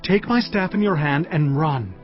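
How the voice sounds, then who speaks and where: An actor playing the prophet Elisha, an earnest, commanding holy man who speaks in a solemn, deliberate way, in a dramatized audio scripture. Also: fairly high pitch, expressive, lightly acted. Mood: instructing. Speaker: prophet character. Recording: dull dry audio with music.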